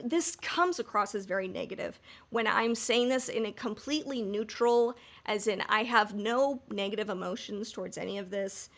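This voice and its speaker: Female podcast co-host, higher register, informal, candid and emphatic delivery. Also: a bit bright. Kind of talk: casual fan discussion.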